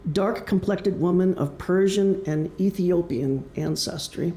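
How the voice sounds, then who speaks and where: A man from Wisconsin with a Midwestern American accent giving an explanatory public talk as an author, with deliberate pauses. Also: high-pitched, warm tone. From Wisconsin, United States. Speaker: author presenting his novel. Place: indoor presentation room. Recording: echoey room.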